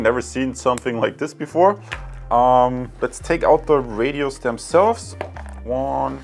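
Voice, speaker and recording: Halting male gadget reviewer over music. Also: medium pitch. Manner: halting, with frequent hesitations and self-corrections. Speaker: tech product reviewer and presenter. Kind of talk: casual unboxing and review talk to viewers.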